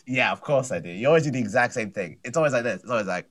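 A man's voice: mid-range voice, expressive, very fast, fairly bright tone. Laid-back man with a mid-range voice. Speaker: comedian and podcast co-host. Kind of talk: casual podcast chat.

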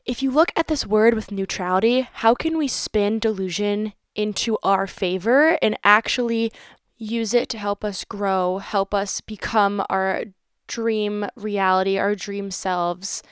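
A woman's voice: medium pitch, clean tone, dry recording, clean studio sound. A young woman hosting her own podcast in casual chat, playful and chatty, swearing freely. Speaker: podcast host.

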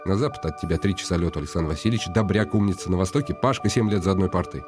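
A man's voice: deep voice, rapid-fire, lightly acted. A man playing a weary, ironic nobleman in reflective inner monologue for a radio play. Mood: bitter, lonely. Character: weary, ironic, lonely nobleman, brooding inwardly. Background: music.

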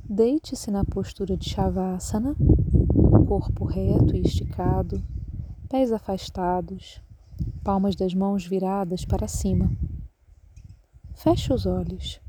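A Brazilian Portuguese-speaking woman guiding a relaxation meditation as a yoga nidra instructor, calm and measured in her instructions. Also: mid-range voice, heavy background noise.

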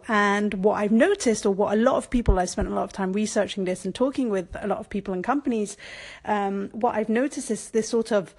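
A woman from France and Brussels hosting a solo podcast, talking things through in a conversational, thoughtful way with frequent ums and self-corrections. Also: medium pitch. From born in France, raised in Brussels. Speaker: podcast host. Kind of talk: solo podcast monologue on business.

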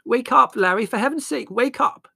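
A man voicing a brisk, bossy, flustered mother in a storybook reading, urgent and commanding. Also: very high voice, gravelly, pushed and bright, no room sound, studio quality, pitched above the performer's own voice, exaggerated voice. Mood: frantic. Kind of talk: acted audiobook character dialogue.

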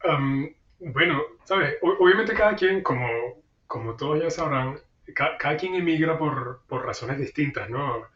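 A man's voice: a little high, expressive, unhurried, fairly bright tone, reverberant, distant mic, lo-fi sound. A man being interviewed over a video call, answering thoughtfully with many fillers and restarts. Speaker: guest. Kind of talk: casual interview.

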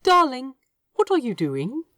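A prim, kindly gentleman angel from a storybook, played by a woman, fond and a little fussy. He speaks gently and earnestly, with big melodic swings in a dry, close recording. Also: high voice, huge pitch swings, a little slow, no echo, pitched above the performer's own voice, full character voice. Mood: cheerful, fond. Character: kindly, prim, cheerful male angel bookseller, fondly fussy.

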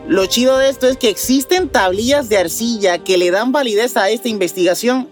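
Mexican man hosting over a music bed. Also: sits high. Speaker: news host. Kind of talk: scripted mystery news narration with casual banter.

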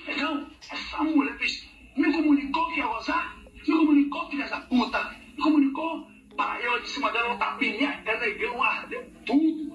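Man, Brazilian accent, very high voice, crude rowdy talk, echoey far-off television clip. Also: moderate pace, fairly bright tone, dull audio. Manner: crude, blunt, swearing. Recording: echoey, distant TV playback with music.

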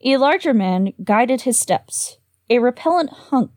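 Woman, higher voice, slight lisp, dry close sound, reading a spooky story aloud. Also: expressive, measured pace, darkish tone, no room sound. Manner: giggly, trips over words. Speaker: reader and co-host. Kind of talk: reading a horror story aloud with chat breaks.

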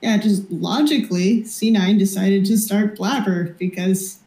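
Woman, co-host, warm voice, reasoned argument, roomy sound. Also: mid-range voice, measured pace. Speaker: esports co-host. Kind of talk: casual opinion chat.

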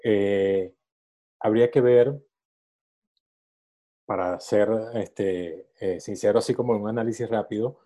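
Un hombre de unos sesenta años, productor de cine invitado a un podcast, que piensa en voz alta con muchas muletillas. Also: male voice, lowish voice, steady tone, unhurried, pauses often, dark tone. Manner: thinking aloud, many fillers. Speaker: film producer guest. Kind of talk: casual podcast interview.

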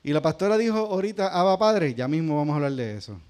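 A man's voice: on the high side, lively intonation, fast talker, darkish tone. A man with a Puerto Rican accent preaching a sermon as pastor, talking to the congregation in a conversational way and asking them questions. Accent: Puerto Rican Spanish. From Puerto Rico.

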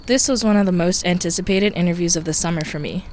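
A woman's voice: on the low side, quick pace, a little mellow. Upbeat female podcast host, scripted intro, faint background hum. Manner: upbeat, read-out delivery.

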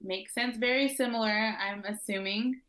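A woman's voice: medium pitch, steady tone, normal speed, fairly bright tone. A young woman from Oklahoma, recovering from a sore throat, talking casually as a podcast guest and cultural educator. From Oklahoma, United States. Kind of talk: casual conversational interview.

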